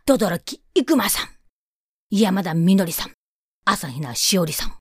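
A woman presents her show in casual solo chat. Her intonation is very lively and she sounds playful and self-deprecating, with a dry recording free of room echo. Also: mid-range voice, measured pace, breathy edge. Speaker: radio show host and scriptwriter.

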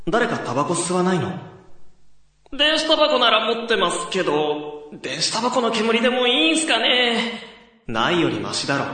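A man acts as a young college student recounting a summer ghost story in a radio drama. His pitch is fairly high and moves with lively variation between narration and dialogue. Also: husky, dry recording, heavily acted. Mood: casual, uneasy.

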